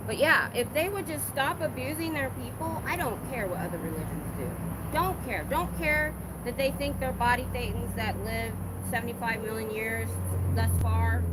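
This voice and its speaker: A woman livestreaming from a city street, chatting casually and reading viewer comments, getting animated and emphatic when indignant. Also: on the high side. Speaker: livestreaming protester. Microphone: phone held in hand. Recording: phone recording outdoors with street noise. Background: traffic and street noise.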